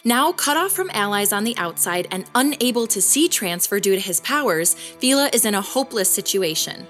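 An expressive mid-pitched podcast host played by a woman, earnest and investigative. She narrates in scripted documentary style with lively intonation over light music. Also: brisk, lightly acted. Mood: grave.

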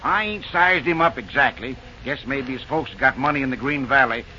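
Bright-voiced folksy railroad drifter. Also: male voice, medium pitch, moderate pace. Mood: earnest. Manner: folksy backwoods speech, drawling rustic grammar. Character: easygoing drifting railroad man, folksy and talkative. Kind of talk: old-time radio drama acting. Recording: noisy, dull early radio recording.

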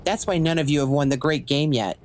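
A woman's voice: deep voice, brisk, lightly acted. A woman acting in an audio drama as a cocky legendary assassin and thief for hire, with smug, theatrical, drawling taunts. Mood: scornful, commanding.

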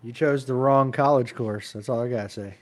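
A man's voice: medium pitch, a little fast. A man in his fifties with an American accent, chatting casually. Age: 50s. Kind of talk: casual chat.

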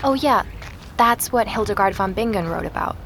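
An actress playing a curious, thoughtful young woman investigator in an audio drama, with a creaky voice and an understated manner. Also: medium pitch, moderate pace, fairly bright tone, a little hiss or hum, lightly acted. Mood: curious, intent. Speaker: lead actor and narrator of an audio drama. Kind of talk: audio drama dialogue and first-person narration.

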